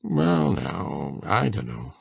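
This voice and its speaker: Man acting a gravelly, very deep old farmer. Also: wildly swooping pitch, slow pace, dry recording, exaggerated voice. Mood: hesitant, mild. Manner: hesitant, folksy rural speech. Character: shy, slow-spoken, kindly older farmer. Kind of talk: full-cast audiobook dramatization.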